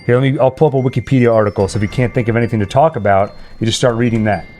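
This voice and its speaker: A man's voice: mid-range voice, fairly even intonation, very fast, darkish tone, slight background noise. A man hosting a live stream with casual, playful banter, slipping into announcer-like score calls. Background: music.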